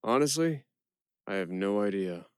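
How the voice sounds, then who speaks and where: A man playing a quick-witted prisoner who narrates his own tale in a first-person audio play. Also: on the low side, animated, smooth, no room sound, clean studio sound, lightly acted. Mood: conversational.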